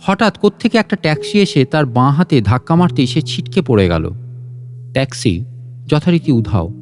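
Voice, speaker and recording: A man's voice: medium pitch, very animated, normal speed, a little mellow. A man reads a literary story as a scripted audio narration. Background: guitar and instrumental music.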